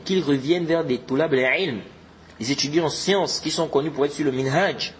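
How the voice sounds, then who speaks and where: An earnest man teaches religion in a slightly raised voice. He drives his points home by repeating them and sprinkles in Arabic terms. A faint hiss sits under the recording. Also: a little high. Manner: repetitive, exhorting, mixes in Arabic religious terms. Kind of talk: informal religious lesson.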